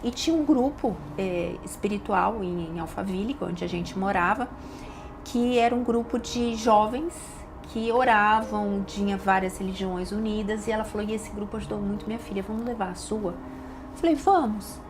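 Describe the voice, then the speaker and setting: A woman's voice: mid-range voice, normal speed. Emphatic Brazilian woman telling her story. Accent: Brazilian Portuguese. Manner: emotional, emphatic storytelling with repetitions. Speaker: doctor and podcast guest. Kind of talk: personal testimony in a podcast interview. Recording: audible background noise.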